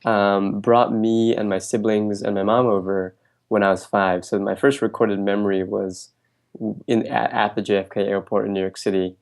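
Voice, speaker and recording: A young man with a fairly low voice answers interview questions. He talks loosely and casually, with many ums and restarts. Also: moderate pace, creaky. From Bangladesh, raised in New Jersey. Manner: relaxed, with frequent fillers and restarts. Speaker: guest.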